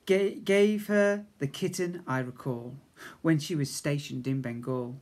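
Expressive British man reading children's rhymes. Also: on the high side. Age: 50s. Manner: expressive, deliberate verse reading with chatty asides. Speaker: infant school teacher. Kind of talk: reading comic rhymes aloud to young children. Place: small indoor school office. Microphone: device camera at arm's length.

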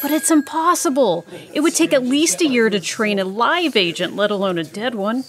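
An actress playing a cautious, skeptical government agent in an audio drama, speaking earnestly. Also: female voice, fairly high pitch, lively intonation, moderate pace, natural voice. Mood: alarmed, protesting. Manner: earnest, objecting. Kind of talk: scripted audio drama acting.

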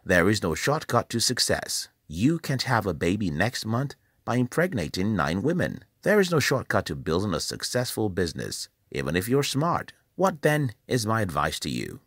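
A man from Nigeria, reading a scripted motivational voice-over in a measured, emphatic, preacherly way. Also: on the low side, very animated, fairly bright tone, breathy edge, dry recording, studio quality. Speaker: channel host.

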